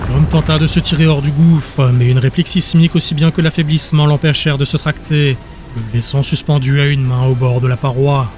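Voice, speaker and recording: A man's voice: a little high, steady tone, brisk. A man reading dramatic scripted narration as an audiobook narrator. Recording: music behind the voice.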